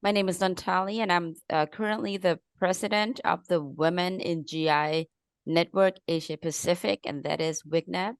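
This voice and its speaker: Gravelly low woman giving a welcome. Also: steady tone, moderate pace, no room sound. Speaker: association president, welcoming speaker. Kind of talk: formal welcome.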